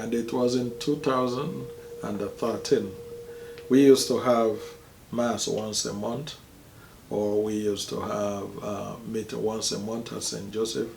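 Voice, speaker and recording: A man's voice: on the low side, creaky. Nigerian accent, man, priest, hesitant with fillers, roomy echo, faint background hum. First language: Igbo. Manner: slow and hesitant, many pauses and fillers. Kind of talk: interview, telling his life story.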